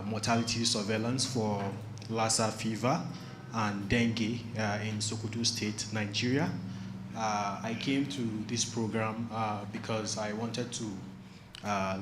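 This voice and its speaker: Phd guy, low flat voice, lots of pauses, echoey noisy room. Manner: slow, pausing often. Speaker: PhD student. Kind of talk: self-introduction. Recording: echoey room with background noise.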